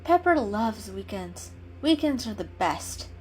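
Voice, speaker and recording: A woman narrating a children's story in an expressive, dramatic reading style. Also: mid-range voice, takes it slowly, poor audio. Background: soft music.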